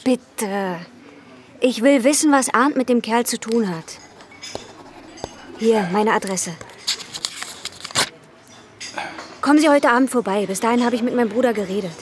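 Actress as brisk young woman, slightly high voice. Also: a little hiss or hum, lightly acted. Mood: anxious, pleading. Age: young adult. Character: young waitress and mother, worried, guarded, brisk. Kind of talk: naturalistic German radio crime drama.

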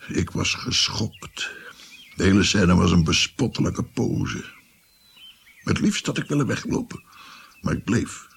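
An actor playing a shaken old grandfather who recounts a haunting memory in a dramatic, halting radio play narration. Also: sits low, heavily acted. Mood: shaken, appalled. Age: older. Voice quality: husky, gravelly.

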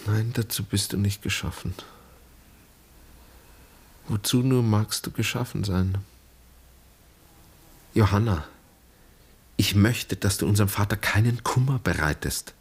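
An actor playing a serious, searching son and brother in a German radio drama, earnest, polite and probing. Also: male voice, lowish voice, measured pace, long pauses, breathy edge. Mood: earnest, concerned.

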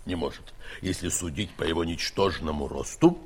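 An actor in a comic audio play playing a pompous, dismissive court scholar who mutters and repeats himself. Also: male voice, sits low, moderate pace, darkish tone, husky. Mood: muttering, self-satisfied.

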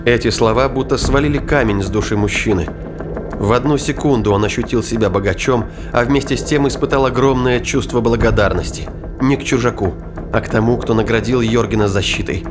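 A man's voice: medium pitch, fairly quick. A man giving scripted dramatic narration as the narrator of an audio play. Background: music.

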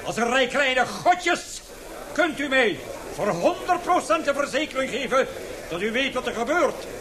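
Radio drama old professor: stubborn, indignant dissenter, agitated oratory, extremely high strained-up pitch, clearly noisy recording. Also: male voice, very animated, measured pace, exaggerated voice. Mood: angry, alarmed. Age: older. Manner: agitated, declamatory. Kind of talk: radio play acting.

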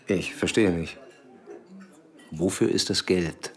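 An actor playing a sceptical, agitated man in a German radio drama, questioning with breathy emphasis. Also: sits low, slow pace. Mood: probing. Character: sceptical, agitated male friend interrogating a soldier. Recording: music behind the voice.

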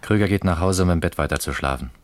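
Actor as very deep, thoughtful detective. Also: male voice, quick pace, no room sound, lightly acted. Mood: dry, watchful. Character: thoughtful male detective partner on night stakeout. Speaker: police colleague on a stakeout. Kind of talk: German radio crime drama acting.

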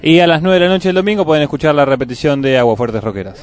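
An Argentine man chats his way through radio hosting. His voice sits a little high, and soft music plays faintly under it. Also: fast. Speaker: radio co-host. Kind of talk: casual community radio presenting.

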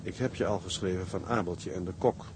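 Male actor as seaman writing to his sweetheart, lowish voice, measured, intimate, radio drama, noisy ship ambience. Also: natural voice. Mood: tender, reflective. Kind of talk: radio drama letter narration.

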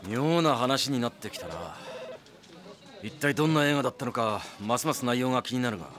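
A man playing a serious senior investigator in a Japanese anime radio drama, gruff and pondering. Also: medium pitch, animated, fairly quick, crowd noise, natural voice. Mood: puzzled. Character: curious, serious senior male investigator questioning a subordinate.

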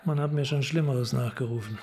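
An actor in a German radio crime drama playing a tough, cynical police detective, blunt, terse and bullying. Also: male voice, mid-range voice, a little fast, a little mellow, soft background music, natural voice. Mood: matter-of-fact. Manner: blunt, terse, hard-boiled.

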